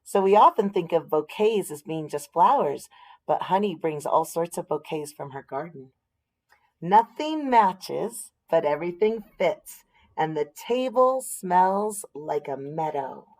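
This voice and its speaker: A woman's voice: on the low side, lively intonation, unhurried, darkish tone, poor audio. An American woman reading a picture book aloud expressively, with explanatory asides and questions for young listeners.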